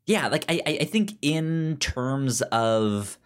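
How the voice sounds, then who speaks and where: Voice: mid-range voice, animated, smooth. Stammering podcast co-host with a mid-range voice. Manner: halting, stammering over words. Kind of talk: casual film and comic discussion.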